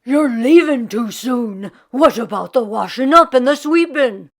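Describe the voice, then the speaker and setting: Storybook husband, acted by a woman: lazy, cheeky schemer, hearty exclamations, fairly high voice. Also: lively intonation, unhurried. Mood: alarmed.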